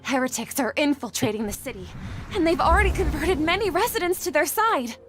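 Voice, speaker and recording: A woman's voice: high voice, expressive, brisk, music in the background. An actress playing an anxious, guilt-ridden young woman scholar in a video game, speaking earnestly and formally. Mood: panicked. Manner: earnest, troubled, formal wording. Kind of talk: dramatic video game voice acting.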